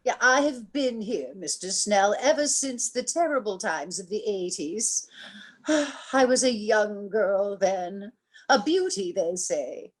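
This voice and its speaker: A woman acting a haughty, ancient matriarch in a radio drama, with a husky voice and a grand, condescending manner. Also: medium pitch, animated, takes it slowly. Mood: grandly reminiscing. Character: imperious, haughty, ancient matriarch of eerie store dwellers.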